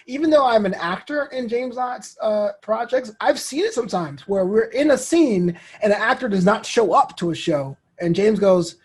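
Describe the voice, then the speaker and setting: A man speaking as a guest in a casual interview, with a husky voice and a storytelling manner, acting out imagined quotes as he goes. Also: sits high, animated, normal speed, few pauses, a little mellow. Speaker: interview guest, actor and podcast host.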